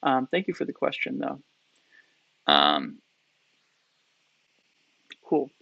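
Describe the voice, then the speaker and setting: A man's voice: mid-range voice, wildly swooping pitch, takes it slowly, creaky, dry recording, dull audio. A man with a Coloradan accent answering viewer questions in a live-stream tutorial, hesitant, with many fillers and restarts, in a small indoor room. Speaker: poi spinning instructor.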